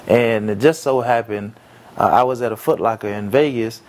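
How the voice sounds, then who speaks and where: Young guy from haiti talking in an interview, earnest and thoughtful, lots of ums and pauses. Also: mid-range voice. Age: young adult. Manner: earnest, reflective, with frequent pauses and fillers. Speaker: entrepreneur interviewee. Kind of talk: casual interview answers.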